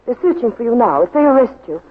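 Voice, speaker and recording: A woman's voice: on the high side, sing-song, fast talker, slightly rough. An actress playing a devoted, urgent young woman in a wartime thriller, with earnest, dramatic 1940s radio delivery. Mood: tender, pleading. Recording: muffled, phone-like 1940s radio recording.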